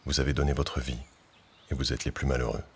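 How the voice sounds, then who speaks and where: Male reader, grave declamation; very deep, breathy, monotone. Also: quick pace. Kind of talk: solemn reading.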